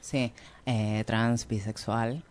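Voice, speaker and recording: A man's voice: on the low side, little pitch movement, extremely slow, no echo. A man speaking as an interview guest, informal, hesitant and thoughtful. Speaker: interview guest, activist and researcher.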